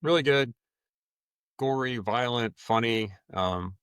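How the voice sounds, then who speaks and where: A man hosting a casual podcast, talking in a relaxed, rambling way and swearing now and then. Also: mid-range voice, takes it slowly, clear, resonant tone, no room sound, studio quality. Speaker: podcast host. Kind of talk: casual chat.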